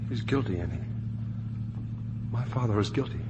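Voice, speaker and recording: A man's voice: fairly low pitch, moderate pace, lightly acted. An actor playing an anguished, idealistic young man in a 1950s radio drama, intense and morally outraged at his father. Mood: brooding, grieving. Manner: intense, emotional, accusing. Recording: noisy vintage 1950 radio broadcast.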